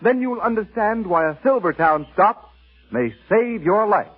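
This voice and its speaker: A man's voice: high-pitched, lively intonation, measured pace. A man announcing in the emphatic, declamatory style of a 1930s radio advertisement. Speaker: announcer reading a sponsor message. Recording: tinny old radio recording, music behind.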